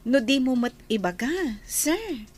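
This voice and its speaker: A woman's voice: a little high, big pitch swings, unhurried. A woman playing a hesitant, demure young female employee in a Filipino radio drama. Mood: flustered, guarded.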